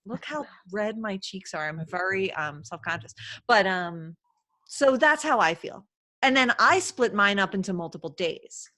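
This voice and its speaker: Chatty woman host, 30s, bright voice, casual online call. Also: mid-range voice, lively intonation, unhurried. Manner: chatty, laughing, lots of fillers. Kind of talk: casual live-stream chat.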